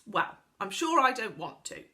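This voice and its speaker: A woman voicing a prim, rude young girl who speaks loudly and indignantly. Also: mid-range voice, very animated, moderate pace. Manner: loud, indignant.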